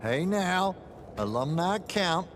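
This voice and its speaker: A wry old battlemage veteran in a fantasy game. He talks colloquially and gruffly, like an uncle, in a voice a little high for a man, with noticeable ambience behind him. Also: very animated, slow, background noise, full character voice. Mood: wry, casual. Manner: colloquial, dry, gruffly avuncular. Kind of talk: video game acting.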